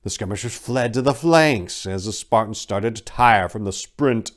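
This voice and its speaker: A man narrating a story in a dramatic, theatrical way, with deliberate phrasing and emphatic swells. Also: on the low side. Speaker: podcast host and narrator.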